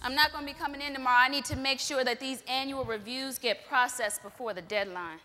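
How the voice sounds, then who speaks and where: A woman's voice: high-pitched, quick pace, sharp tone. A woman on stage playing an evasive, defensive wife who covers for her husband. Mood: brisk, evasive. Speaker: stage actor. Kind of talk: stage play acting. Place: indoor theater stage. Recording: echoey stage hall with long reverb.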